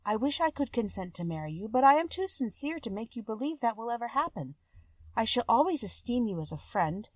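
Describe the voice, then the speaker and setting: Woman playing a sincere, soft-hearted storybook maiden. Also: fairly high pitch, sing-song, a little fast, no echo, voice pushed up. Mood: uneasy, sincere. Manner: earnest, polite old-fashioned speech.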